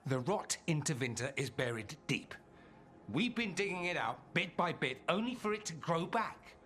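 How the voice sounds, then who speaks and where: A man's voice: on the high side, sing-song, moderate pace, fairly bright tone. A man playing a suave, witty noble mage and political radical in a video game, wry, eloquent and theatrical. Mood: forceful, insistent. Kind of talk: video game drama acting.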